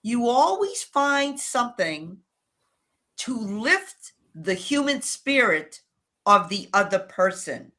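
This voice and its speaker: A woman with a New England accent giving advice and telling stories in conversation as an interview guest, with measured, emphatic phrasing. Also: on the high side, big pitch swings, sharp tone. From New England, United States. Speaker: interview guest, relationship coach.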